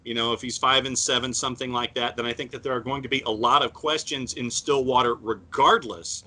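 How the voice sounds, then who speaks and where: A man co-hosting a sports podcast, talking in a measured way with many fillers and restarts. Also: mid-range voice, fairly even intonation, sharp tone. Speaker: podcast co-host. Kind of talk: casual sports talk.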